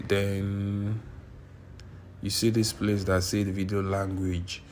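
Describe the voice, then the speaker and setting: Flat-voiced man giving a tutorial. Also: fairly low pitch, slow, slight background noise. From Nigeria. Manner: repetitive, insistent, self-correcting. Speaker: YouTube tutorial creator. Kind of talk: screen-recorded tutorial. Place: indoors at a computer.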